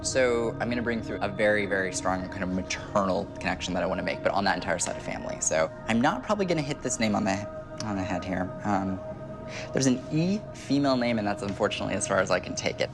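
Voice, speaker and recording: A man in his twenties speaking as a medium, relaying impressions in a halting, conversational way. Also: lowish voice, animated. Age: 20s. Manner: halting, narrating impressions as they come. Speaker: medium, show host. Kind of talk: psychic reading, spontaneous conversational. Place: indoor TV studio set. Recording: music behind the voice. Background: music.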